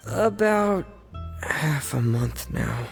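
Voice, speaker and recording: A man's voice: a little high, lively intonation, drawn-out words, creaky, full character voice. Reserved, loyal teenage boy: subdued, sincere game acting with music underneath. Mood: guarded, hesitant. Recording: music behind the voice.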